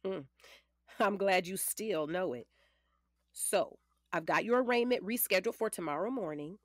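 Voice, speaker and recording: A woman's voice: mid-range voice, normal speed, no echo, studio quality, close to an everyday voice. A woman playing a confident, matter-of-fact lawyer in her late forties in an audio drama, firm, precise and commanding. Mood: instructive.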